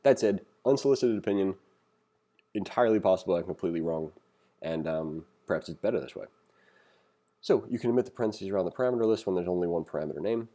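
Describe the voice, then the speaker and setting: A man's voice: sits low, dark tone. A man giving a casual, conversational programming tutorial as instructor, reading along and adding opinionated, self-deprecating asides.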